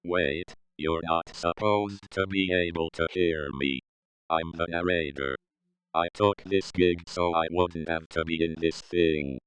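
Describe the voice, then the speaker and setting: A man's voice: sits low, fairly even intonation, unhurried, a bit bright, clear, resonant tone, low-quality recording. A man playing a pompous, self-important artificial gnome who narrates and fancies himself a podcasting expert in a comedic audio skit.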